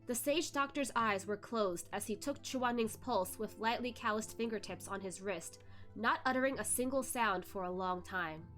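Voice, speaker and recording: A woman reading a novel aloud as expressive narration, occasionally tripping over a word and correcting herself. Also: mid-range voice, moderate pace. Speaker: host of a read-along reading a web novel aloud. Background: music behind the voice.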